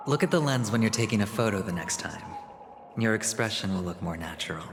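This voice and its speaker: An actor voicing a weary, reckless young male gambler in a game cutscene, talking in a wry, quietly resigned way. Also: fairly low pitch, lively intonation, brisk, long reverb tail, background noise. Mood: gentle.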